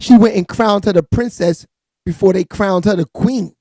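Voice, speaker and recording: Raspy new dude running a live gossip stream, hyped and slangy, dry close sound. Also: male voice, high voice, moderate pace, dry recording. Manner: hyped, slangy, frequent shout-outs to viewers. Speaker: live-stream entertainment news host. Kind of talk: casual hip-hop gossip commentary.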